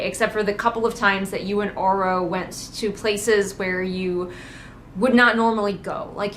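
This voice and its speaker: A woman's voice: medium pitch, fairly bright tone. Woman doing a casual snarky book rant, kinda exasperated, bit of noise behind her. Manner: exasperated, mocking asides and acted-out quotes. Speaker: book critic hosting a readalong. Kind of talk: casual sarcastic commentary. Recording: noticeable background noise, train outside. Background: ambient noise, passing train at times.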